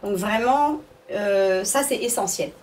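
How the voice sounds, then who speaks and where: A woman's voice: mid-range voice, slow pace, darkish tone, far from the mic. A woman answering interview questions as the director of an arts school, explaining things phrase by phrase with hesitations.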